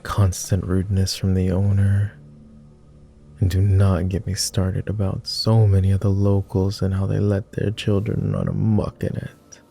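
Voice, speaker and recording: A man playing a lonely, gentle vampire who speaks formally and haltingly in an intimate ASMR roleplay. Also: low-pitched, monotone, vocal fry, soft background music. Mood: wry, subdued. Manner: formal, old-fashioned wording with halting, hushed delivery. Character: clingy lonely male vampire, courtly, gentle, easily flustered.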